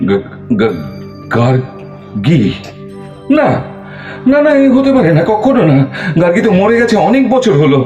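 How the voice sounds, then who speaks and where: A man's voice: sits high, huge pitch swings, a little slow, pauses often, mellow, dull audio, exaggerated voice. Guy acting panicky guilty man in a radio play, stammers, roomy echo, music behind. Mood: shocked, frantic. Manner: stammering, repeating words in agitation. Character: guilty, panicked man, a police suspect pleading innocence. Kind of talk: audio drama acting.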